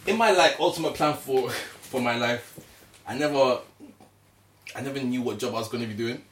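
A man's voice: mid-range voice, normal speed. A man in his mid-twenties chatting casually, playful and self-mocking. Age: 20s. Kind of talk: casual chat. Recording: roomy, slightly distant mic with faint noise.

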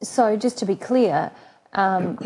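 Breathy woman, soft dark voice, chiming in to check the story. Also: medium pitch, slow pace, rough recording. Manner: attentive, gives constant short agreements.

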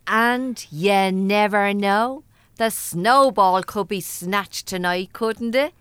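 An actress with an Irish accent playing a chatty, down-to-earth housekeeper in an audio drama, homely and colloquial. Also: female voice, medium pitch, takes it slowly, a bit bright, smooth, dry recording, clean studio sound. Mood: hopeful.

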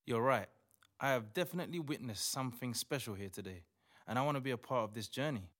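A man playing a bewildered but courteous atheist doctor in a modern audio drama, measured and incredulous. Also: mid-range voice, a bit bright, close to an everyday voice. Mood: won over. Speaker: actor in audio play.

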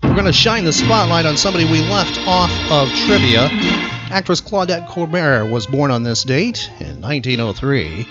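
A man's voice: on the high side, lively intonation, normal speed, highs cut off, over loud music. A man hosting trivia as quizmaster in an emphatic, announcer-like radio style. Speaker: podcast host and quizmaster.

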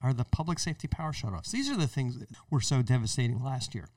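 Animated American man, slightly off-mic. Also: medium pitch, fast talker, no echo, far from the mic. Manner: emphatic, conversational, acts out imagined remarks. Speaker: podcast host. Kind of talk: explanatory radio-style talk.

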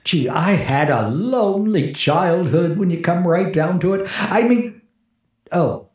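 An actor playing a frightened, lonely disc jockey in 1940s radio horror, stammering nervously and rambling to himself. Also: male voice, high voice, expressive, measured pace, a little mellow. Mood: rambling, wistful. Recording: echoey vintage 1940s radio recording with music.

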